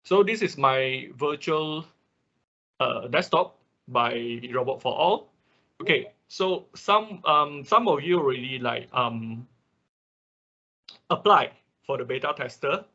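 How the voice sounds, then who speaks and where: A man teaching a software tutorial step by step in an unscripted, halting way, often marking steps with short filler confirmations. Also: mid-range voice, animated. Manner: halting, often repeats "okay" and "right" as markers. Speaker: workshop instructor. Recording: dull lo-fi online class audio.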